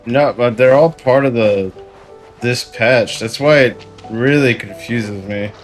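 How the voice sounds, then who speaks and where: A man who streams games, chatting casually in a halting mutter, repeating words as he thinks aloud. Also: medium pitch. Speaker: game streamer. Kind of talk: casual gameplay chat. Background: video game music.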